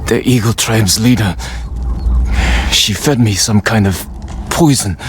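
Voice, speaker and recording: Grave, low-voiced samurai man played by an actor. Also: measured pace, slight background noise, natural voice. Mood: weakened, urgent. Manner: grave, terse, defiant. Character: stern, wounded, defiant samurai warrior, adult man. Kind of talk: dramatic video game acting.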